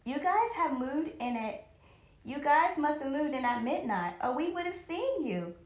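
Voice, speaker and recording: An eager, curious young storybook boy , played by a woman reading aloud, talks in a high, bouncy voice with big swings in intonation. The room around the voice is echoey. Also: very animated, unhurried. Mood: excited.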